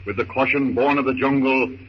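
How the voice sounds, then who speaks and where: A man's voice: medium pitch, fairly even intonation. Theatrical 1930s radio narrator, male. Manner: theatrical, deliberate. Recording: noisy, muffled 1930s radio recording.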